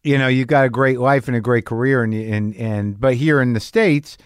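A male podcast host asks casual questions and fills in with quick encouraging remarks. His voice sits at a middle pitch. The close mic gives a dry sound. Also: fairly even intonation, clear, resonant tone, no echo. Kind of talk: casual interview chat.

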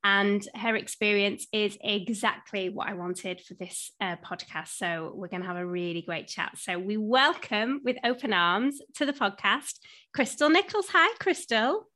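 A woman's voice: medium pitch, measured pace, bright, forceful tone. A woman podcast host speaking in an effusive, encouraging way, moving between scripted intros and chatty interview.

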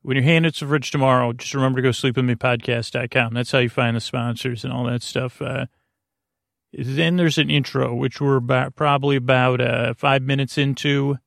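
A man hosting a sleep podcast with a creaky voice, giving a gentle, soothing, whimsical monologue that meanders through hesitations, fillers and tangents. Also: mid-range voice, fairly even intonation, moderate pace, no echo, studio quality. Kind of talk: rambling bedtime monologue.

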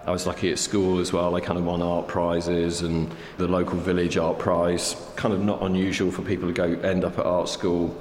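Deep-voiced man in a roomy hall. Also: flat delivery, normal speed, hardly any pauses, a little mellow, slight background noise. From England. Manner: thoughtful, hesitant, many fillers. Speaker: artist guest. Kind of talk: reflective interview conversation. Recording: roomy hall echo, faint ambience.

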